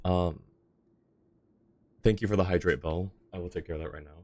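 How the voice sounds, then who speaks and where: A man's voice: deep voice, steady tone, a little fast, darkish tone. A young man livestreaming a game and chatting casually with viewers on a close microphone. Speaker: live game streamer. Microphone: close streaming microphone.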